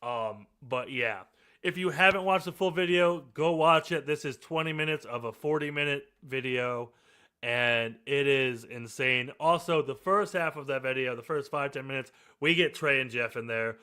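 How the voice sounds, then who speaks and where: A man's voice: mid-range voice, moderate pace, clear, resonant tone. A man hosting a podcast after-show, talking casually and rambling with fillers. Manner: relaxed, rambling, many fillers. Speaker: podcast host.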